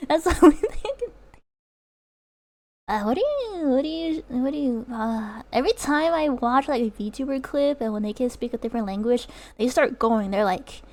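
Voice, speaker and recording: A woman's voice: high voice, animated, normal speed, darkish tone. A young woman streamer whose first language is Chinese, chatting casually with viewers, rambling with lots of fillers and laughter. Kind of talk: casual chat reading viewer comments.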